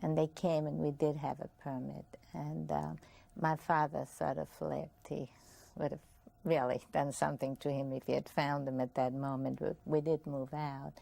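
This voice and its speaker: An older woman speaks with a Viennese German accent, her voice very low and gravelly. The tone is dark and mellow, and she talks softly and reflectively, with small laughs between thoughts. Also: level tone, normal speed, few pauses. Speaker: interviewee. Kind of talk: oral history interview.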